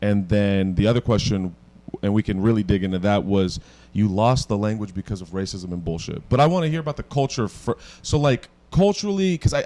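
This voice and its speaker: A man's voice: on the low side, darkish tone. A man hosting a podcast interview, asking casual questions in an encouraging, chatty way. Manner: encouraging, quick to laugh, chatty. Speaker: podcast host.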